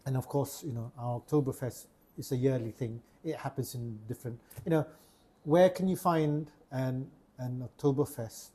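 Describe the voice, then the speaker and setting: A man's voice: mid-range voice, moderate pace, dark tone, breathy edge. A man from Sarawak with a Malaysian accent, hosting a casual podcast chat and often repeating words as he searches for a phrase. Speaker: podcast host.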